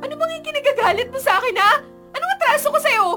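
A woman's voice: very high-pitched, lively intonation, fast, pushed and bright, soft background music, full character voice. An actress playing a feisty, indignant young female student in a Filipino radio drama, with an emotional, dramatic delivery. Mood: angry, tearful. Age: young adult.

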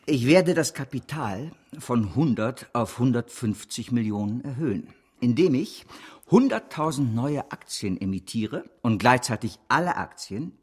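A grandiose, scheming financier, slightly rough-voiced. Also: male voice, medium pitch. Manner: grandiose, emphatic, persuasive. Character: ambitious, fast-scheming male financier, grandiose and persuasive. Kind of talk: German radio drama acting.

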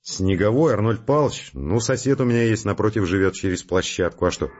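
An actor playing a harried, irritable male scientist with a gruff, sarcastic, slangy way of talking in an audio drama. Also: lowish voice, a little fast, muffled recording, noisy background, lightly acted. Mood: casual, wry.

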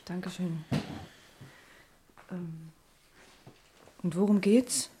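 Slow-spoken, wistful woman. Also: fairly low pitch, fairly even intonation, warm tone, a little hiss or hum. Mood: timid, hesitant. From East German mountain village. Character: earnest, dreamy, unsettled East German working woman, Frau Baldauf. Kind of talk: German radio play acting, intimate monologue and dialogue.

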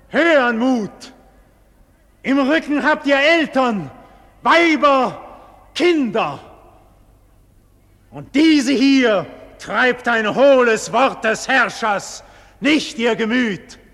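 Doomed heroic nobleman, slow solemn declamation, husky, classical stage style, long cavernous reverb, vintage radio drama. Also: extremely high pitch, a bit bright, heavily acted. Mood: impassioned rallying. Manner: solemn, slow, theatrical declamation with wide dynamic swings. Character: condemned heroic nobleman facing execution, proud, visionary, defiant. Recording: 1940s radio recording with long hall reverb.

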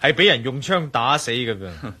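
A bold male adventurer in a radio drama, with a Hong Kong Cantonese accent. His delivery is commanding and suspicious, swinging widely in pitch with a sharp, slightly rough edge. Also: big pitch swings, normal speed, exaggerated voice. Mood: insistent, puzzled.

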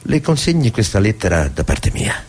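An old ex-diplomat in a radio play. His voice is deep and husky. He is courtly and guarded, choosing formal words and hinting more than he says. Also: very animated, a little mellow, full character voice. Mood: cryptic warning. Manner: measured, formal, allusive. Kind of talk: radio drama acting.

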